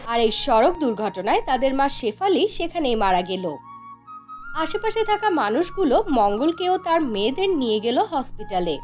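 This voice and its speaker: A woman's voice: high-pitched, sing-song, normal speed. A woman narrating a scripted fairy tale for a cartoon, as a storyteller. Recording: music behind the voice. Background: background music.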